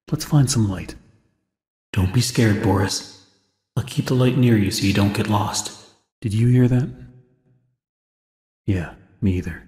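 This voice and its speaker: A man's voice: on the low side, a little mellow, close to an everyday voice. An actor playing a weary, wary American man exploring a haunted cartoon studio in a video game, muttering his scripted lines in an understated way. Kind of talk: scripted game character dialogue. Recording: echoey game audio with long reverb.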